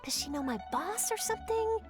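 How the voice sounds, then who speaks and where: A woman playing an eager, curious young journalist in a video game, with a friendly, animated and upbeat manner. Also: very high voice, brisk, music in the background. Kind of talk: video game cutscene voice acting.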